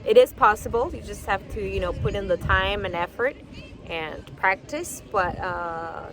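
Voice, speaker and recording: Young female host, native Spanish speaker, loose chatty delivery; clear street noise under the voice. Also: medium pitch, moderate pace, fairly bright tone. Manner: chatty, many fillers like "like" and "you know". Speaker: podcast host. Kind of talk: casual interview chat.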